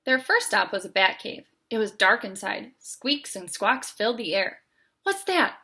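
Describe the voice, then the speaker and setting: A woman's voice: medium pitch, normal speed, bright, forceful tone, slightly rough. A woman reading a children's picture book aloud as a storyteller.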